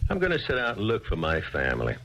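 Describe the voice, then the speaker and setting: A man's voice: fairly low pitch, huge pitch swings, quick pace, bright, forceful tone, exaggerated voice. An actor playing a weary bartender who left his family, in a 1970s radio drama, rambling and searching for words. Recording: dull lo-fi 1970s radio recording.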